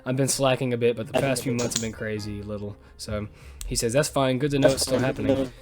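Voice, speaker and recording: A man's voice: medium pitch, fairly even intonation, quick pace. A young man hosting a podcast in casual, slangy banter. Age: young adult. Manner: informal, slangy, swears freely. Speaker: podcast host and audio fiction writer. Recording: music behind the voice. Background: music.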